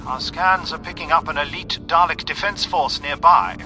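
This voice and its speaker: An actor playing a formal, businesslike cardinal in a science fiction audio drama. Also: male voice, a little high, moderate pace, music in the background, natural voice. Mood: measured, doubtful. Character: formal, businesslike male alien cardinal reporting intelligence.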